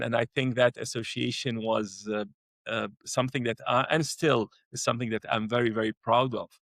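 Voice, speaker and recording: A man's voice: mid-range voice, fairly bright tone, no room sound. Middle-aged male educator from Iraq, hesitant chatty delivery, close dry mic. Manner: hesitant, many restarts and fillers. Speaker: educator, co-host. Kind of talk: conversational dialogue.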